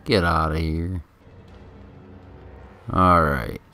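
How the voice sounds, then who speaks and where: Very deep-voiced casual male gamer. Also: fairly even intonation, very slow, pushed and bright, slight background noise. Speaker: gameplay commentator. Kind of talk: casual video game commentary. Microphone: microphone held very close. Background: game music.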